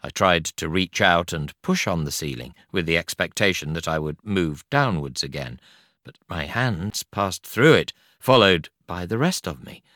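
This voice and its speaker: A man reading a non-fiction audiobook in a measured, articulate and expressive way. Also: deep voice, dry recording, clean studio sound. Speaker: audiobook narrator. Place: studio.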